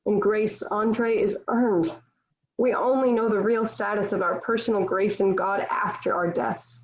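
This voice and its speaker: The sound is dull and lo-fi. A woman acts out a young female warrior in captivity, devout and unbowed. She declaims in solemn, formal lines at a medium pitch, as in a historical stage play. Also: fairly even intonation, unhurried, natural voice. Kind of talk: table-read stage acting of historical drama. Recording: dull, lo-fi home online recording.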